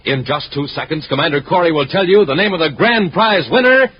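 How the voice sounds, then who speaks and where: A man's voice: fairly high pitch, lively intonation, brisk, slightly rough, dry recording. A man announcing and narrating a 1950s radio adventure serial in a dramatic style. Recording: noisy, muffled vintage radio recording.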